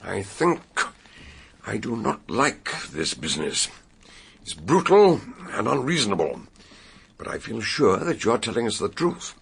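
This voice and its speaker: A man's voice: fairly low pitch, very animated, unhurried, gravelly, a little hiss or hum, heavily acted. An older male actor with an English accent playing an eccentric, brilliant detective doctor in a radio drama, ponderous and kindly. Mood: thoughtful, assured. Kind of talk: radio drama acting and narration.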